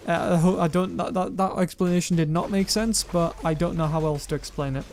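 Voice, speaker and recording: Young man, monotone, high voice, rambling game commentary, faint game sounds behind. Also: fairly quick, slight background noise. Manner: rambling, self-correcting, casually profane. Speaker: gaming YouTuber. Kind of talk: gameplay commentary reading viewer comments.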